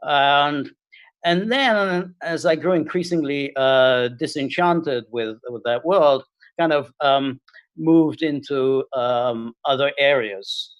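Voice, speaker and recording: A middle-aged man from Hungary, talking as a guest on a video call and speaking haltingly with many fillers and restarts. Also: mid-range voice, no room sound, muffled recording. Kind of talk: casual interview talk.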